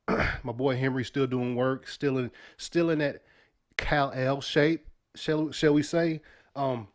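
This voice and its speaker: A young man with a sniffly voice from sinus trouble, hosting a casual weekly news podcast in a loose, slangy way with lots of fillers. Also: medium pitch. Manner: laid-back, loose slang, many fillers and restarts. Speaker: podcast host.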